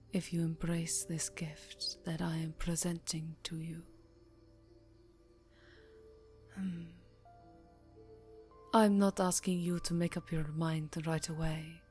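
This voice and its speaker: A woman playing a seductive, aristocratic vampire countess in an ASMR roleplay, teasing and formal, with a breathy voice that often drops to a whisper. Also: fairly low pitch, darkish tone. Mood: tempting, coaxing. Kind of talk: ASMR roleplay, slow intimate acting. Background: music.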